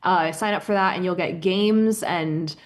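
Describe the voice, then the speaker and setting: A woman's voice: lowish voice, a little slow. A woman podcast host explaining science in a conversational, informal and emphatic way.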